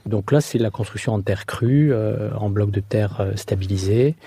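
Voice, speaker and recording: A man's voice: on the low side, fairly even intonation, moderate pace, warm tone, breathy edge, no echo. A middle-aged man from the southwest, an architect answering interview questions hesitantly, with many fillers and restarts. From France. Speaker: architect guest.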